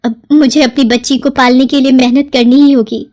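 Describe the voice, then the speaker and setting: A woman's voice: high-pitched, fairly even intonation, fairly quick, a little mellow, voice pushed up, close to an everyday voice. A woman voicing a poor, humble young village mother in a cartoon story, polite and pleading. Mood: polite, earnest.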